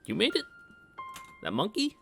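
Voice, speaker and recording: A man's voice: high-pitched, wildly swooping pitch, moderate pace, gravelly, a bit bright. A man reading a visual novel aloud in a casual read-through, joking and swearing between lines. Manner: reads narration aloud, jokes and swears. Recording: voice over game music.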